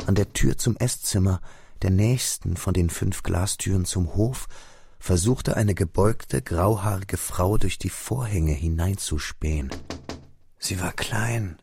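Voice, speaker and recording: Casting: reflective man recalling youth, lowish gravelly voice, measured literary radio drama narration. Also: darkish tone, full character voice. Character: grown man quietly recalling his boyhood at fifteen.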